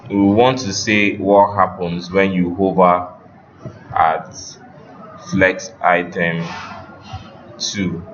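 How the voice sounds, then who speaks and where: Male tech instructor, very low unhurried voice, walking learners through steps; muffled recording with clear background noise. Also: level tone, crowd noise. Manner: instructional, directing students, checking on them. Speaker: web development instructor. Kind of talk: step-by-step live coding lesson.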